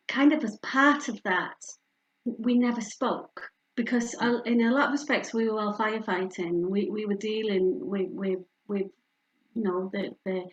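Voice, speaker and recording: A woman's voice: medium pitch, dull audio. A middle-aged woman from the north of England, her Yorkshire accent plain, talks to a community group in a candid, personal way. She leans on fillers and restarts as she tells her story. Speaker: speaker from a hospice charity. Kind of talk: community talk with slides.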